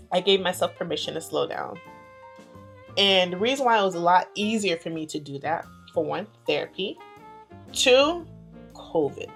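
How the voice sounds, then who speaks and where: A woman in her thirties talks straight to her viewers in a relaxed, confiding chat. Her voice sits at an ordinary middle pitch, and she swings from loud, quick bursts to soft, slow asides. A faint bit of music plays underneath. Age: 30s. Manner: chatty, playful, big swings in loudness and pace. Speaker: vlogger. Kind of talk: casual chat to camera.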